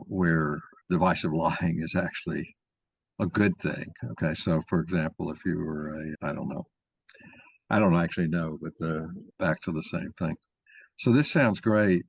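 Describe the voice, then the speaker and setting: A man moderating an informal discussion, talking in a halting, rambling way as he thinks aloud. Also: low voice, husky, dry recording. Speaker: moderator of an online mock trial.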